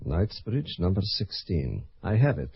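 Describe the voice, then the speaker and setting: An actor playing a dutiful Soviet commissar captain in an old radio drama. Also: male voice, low voice, big pitch swings, measured pace, gravelly, husky, no echo, exaggerated voice. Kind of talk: 1970s radio drama acting. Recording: dull old radio recording.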